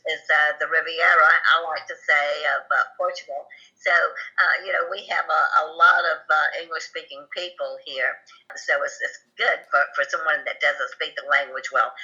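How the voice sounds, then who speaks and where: A woman from Alabama with a Southern US accent, chatting as an interview guest in a folksy way with many filler pauses. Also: low-pitched, sharp tone, lo-fi sound. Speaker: guest, expat group organizer. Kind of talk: casual interview conversation.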